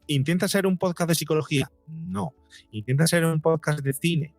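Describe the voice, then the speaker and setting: A man's voice: a little high, moderate pace, gravelly, no room sound, faint music behind. A middle-aged man with a Spain accent answering conversationally as a podcast guest, reflective and repeating phrases for emphasis.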